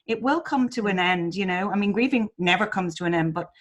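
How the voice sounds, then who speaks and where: A woman's voice: mid-range voice, brisk. Woman, webinar host, encouraging, supportive, video call. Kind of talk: live webinar.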